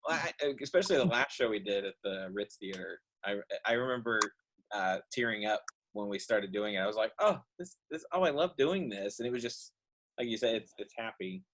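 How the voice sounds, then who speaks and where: A man's voice: fairly low pitch, animated, a little fast, crowd noise, poor audio. Casual, rambling man chatting in a group. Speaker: host of a virtual cast panel, puppet show writer.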